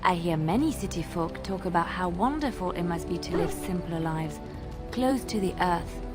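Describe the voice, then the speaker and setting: Woman playing a French-accented gentle minstrel, music behind. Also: fairly low pitch, lively intonation, moderate pace, lightly acted. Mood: earnest. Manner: earnest, polite, careful. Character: gentle, earnest young female minstrel with a secretive past. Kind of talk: video game character acting.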